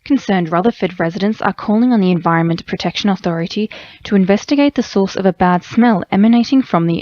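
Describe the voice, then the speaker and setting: Low-voiced Australian woman newsreader. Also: darkish tone, no echo. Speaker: radio newsreader. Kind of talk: scripted news bulletin reading. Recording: slightly dull radio audio.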